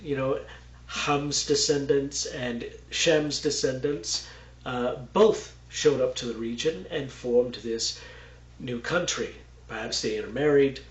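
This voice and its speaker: A man's voice: medium pitch, roomy sound, low-quality recording. A man from Texas giving a casual, rambling Bible lesson with many fillers and asides. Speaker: Bible teacher.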